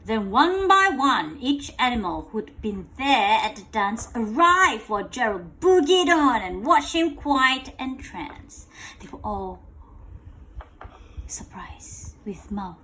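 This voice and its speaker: A woman with a high voice reads a bedtime story aloud, swinging widely in pitch. She takes it slowly, repeats phrases and keeps checking in with the child through simple questions. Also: very animated, bright, forceful tone, low-quality recording. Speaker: storyteller reading a picture book to a child. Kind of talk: interactive bedtime read-aloud.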